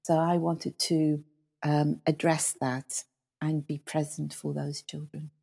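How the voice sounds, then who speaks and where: A middle-aged woman from South Africa whose first language is Afrikaans, speaking as an interview guest and former school leader in a thoughtful, measured way, often pausing on fillers. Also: sits low, level tone, gravelly, mellow, breathy edge. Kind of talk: reflective interview storytelling.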